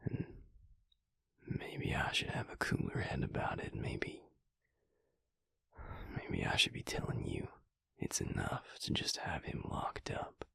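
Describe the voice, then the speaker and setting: A man playing a protective, brooding vampire lover in an intimate audio roleplay, with a husky, breathy voice and a hushed, intense, deliberate delivery. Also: no echo, whispered. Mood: earnest, frustrated. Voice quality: husky, breathy, gravelly low voice. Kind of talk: intimate audio roleplay acting, speaking to the listener.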